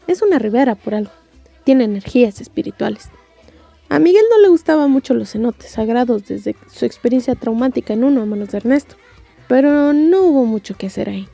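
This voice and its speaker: A woman with a Mexican accent reads a story with wry humour. Her voice is somewhat high yet mellow, recorded dry, with soft music underneath. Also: lively intonation, brisk, vocal fry, dry recording. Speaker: audiobook narrator. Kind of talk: wry scripted story narration.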